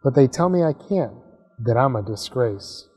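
A man's voice: medium pitch, lively intonation, normal speed, darkish tone, husky. A man reads out a little storybook rocket, hopeful and earnest. The voice is slightly lifted, in a space with a long reverb. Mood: upset, wistful. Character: small, eager, hopeful young male rocket.

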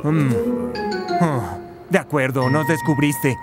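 A man's voice: on the high side, slow. An actor playing a laid-back, joking young male footballer in a Spanish cartoon dub. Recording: music under dialogue.

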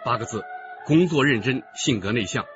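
A man acts out an affable male school principal in a radio play. His medium-pitched voice moves expressively as he explains things at length. The sound is slightly dull, with music behind. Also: lively intonation, moderate pace, a bit bright, lightly acted. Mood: explanatory. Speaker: voice actor in radio drama. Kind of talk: radio drama dialogue. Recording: band-limited radio drama with music behind.

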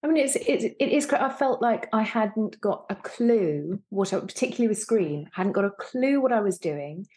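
A woman's voice: medium pitch, expressive, moderate pace, hardly any pauses, a little mellow. A woman in her thirties talking casually as an interview guest, thinking aloud as she goes, on a video call. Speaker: guest, actor. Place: indoor room, on a video call.

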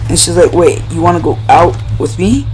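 A man's voice: on the high side, expressive, normal speed, low-quality recording. A young man telling a rambling, profane story casually while playing a shooter game. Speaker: video host. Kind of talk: casual storytelling over gameplay. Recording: loud game music and gunfire behind. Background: shooter game music, gunshots, vehicles.

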